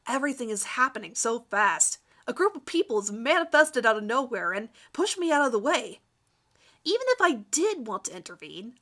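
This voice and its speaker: A woman giving a theatrical, expressive dramatic reading of fan fiction. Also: on the high side.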